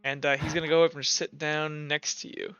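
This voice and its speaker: Young man, game master, rambling narration, slightly high voice, dry lo-fi recording. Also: little pitch movement, a little fast, sharp tone, clean tone, dry recording, faint music behind. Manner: loose and rambling, many fillers.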